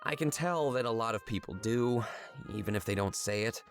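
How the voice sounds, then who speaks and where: An actor voicing an earnest, slightly nervous furry swindler-writer who confides hesitantly in a visual novel game. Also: male voice, medium pitch, fairly quick, faint music behind, lightly acted. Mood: sincere, hesitant.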